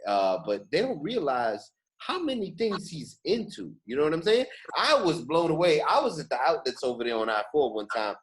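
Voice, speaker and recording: A man's voice: a little high, sing-song, normal speed, few pauses, low-quality recording. A man hosting a podcast, chatting casually and emphatically, often repeating his phrases for stress. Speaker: podcast host. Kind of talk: casual podcast interview chat.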